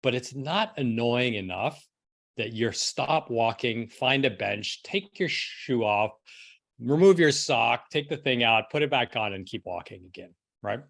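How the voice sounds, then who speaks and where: A man's voice: medium pitch, expressive, unhurried, vocal fry, fairly bright tone. A middle-aged man from New York City telling his own story as a podcast guest in a casual, conversational way. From New York City, United States. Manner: casual, frequent "like" and rhetorical "right?".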